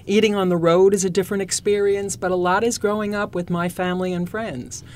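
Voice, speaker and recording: A woman's voice: medium pitch, little pitch movement, a little fast, a little mellow, a little hiss or hum. An older woman from Long Island, a cabaret singer, talking as a guest in a casual interview and telling stories with enthusiasm. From New York, Long Island. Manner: enthusiastic, storytelling.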